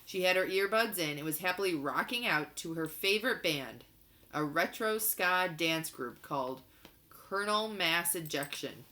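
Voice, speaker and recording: Bright-voiced woman reading a story aloud. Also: fairly low pitch, animated, moderate pace. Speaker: read-aloud narrator. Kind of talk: expressive storybook reading of a children's novel.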